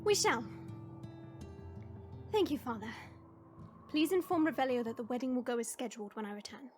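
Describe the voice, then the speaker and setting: A woman's voice: a little high, lively intonation, normal speed, close to an everyday voice. A woman playing a proud, exasperated young princess in a fantasy audio drama, commanding and dramatic. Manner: commanding, dramatic, easily flustered. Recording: music under the voice.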